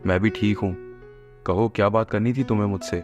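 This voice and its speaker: A man's voice: on the low side, steady tone, fairly quick, muffled recording, soft background music, close to an everyday voice. A man playing a young lover and headstrong younger brother in plain, earnest cartoon story dialogue. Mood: earnest, affectionate.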